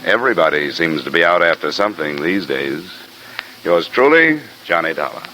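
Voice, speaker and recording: An actor playing a brisk, hard-boiled American insurance investigator, speaking tersely and dryly in a 1950s radio drama. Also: male voice, low voice, sing-song, very noisy. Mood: dry, narrating. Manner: terse, dry. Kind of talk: 1950s radio acting, noir narration. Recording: vintage radio recording with loud music.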